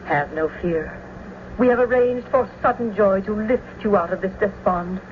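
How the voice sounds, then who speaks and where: A woman's voice: mid-range voice, moderate pace, close to an everyday voice. A woman playing a stately, middle-aged noblewoman and mother in a classical tragedy, formal and declamatory. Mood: brisk, firm. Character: stately, commanding noblewoman mother of a grown daughter. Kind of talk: classical tragedy radio acting. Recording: muffled old radio with faint noise.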